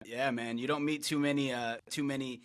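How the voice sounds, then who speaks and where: A man's voice: medium pitch, steady tone, fairly quick. A man from Florida hosting a podcast, talking in an upbeat, friendly way and calling his guest "man" a lot. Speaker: podcast host. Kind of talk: casual interview chat.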